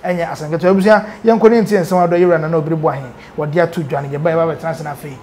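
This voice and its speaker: A man's voice: a little high, fairly even intonation, racing, darkish tone. A man in his thirties with a Ghanaian accent, presenting informal news commentary in a studio on a lapel mic. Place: indoor studio.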